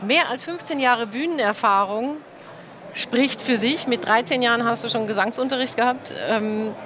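A woman's voice: fairly high pitch, normal speed. A woman interviewer asking a guest conversational questions at a fair. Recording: busy crowd behind.

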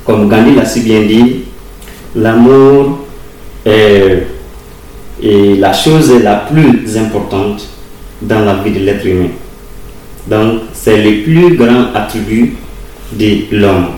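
Male interviewee, slow measured explainer, heard from afar in a reverberant space. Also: on the low side, a little mellow. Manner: slow, deliberate, repeats words while explaining. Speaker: interviewee, university teacher of German and English. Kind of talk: formal interview answers. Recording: roomy distant recording with faint ambient noise.

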